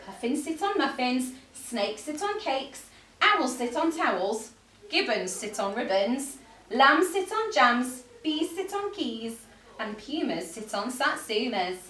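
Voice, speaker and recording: A know-it-all storybook cat as a woman puts it on lays down rules with slow, insistent emphasis. The pitch swings widely and the tone is bright. The sound is roomy and a little distant from the mic. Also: big pitch swings, exaggerated voice. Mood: smug, exasperated. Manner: emphatic, insistent, drawn-out delivery. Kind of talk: children's picture book read-aloud.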